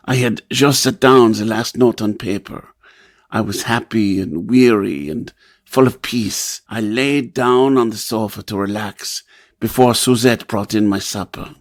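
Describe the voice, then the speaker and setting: An actor playing a haunted, rapturous composer, delivering a poetic, theatrical radio play monologue. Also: male voice, medium pitch. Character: haunted, rapturous male composer narrating his torment. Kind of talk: dramatic first-person radio play monologue.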